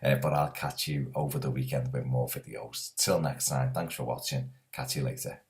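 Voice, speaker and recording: A man hosts a casual soccer preview while hoarse from a cold. His voice sits extremely low and flat. He talks in a chatty, rambling way with frequent fillers. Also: normal speed. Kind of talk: casual solo sports preview.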